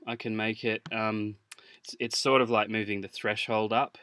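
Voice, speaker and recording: A man teaching music software in an informal tutorial, hesitant as he thinks aloud while demonstrating. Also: fairly low pitch, little pitch movement, moderate pace. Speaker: music production instructor. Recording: clear background noise, mouse clicks.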